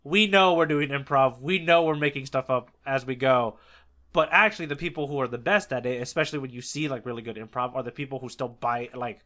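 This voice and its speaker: A man's voice: medium pitch, fairly quick, sharp tone. A man co-hosting a podcast, talking casually and enthusiastically about stories and manga. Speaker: podcast co-host.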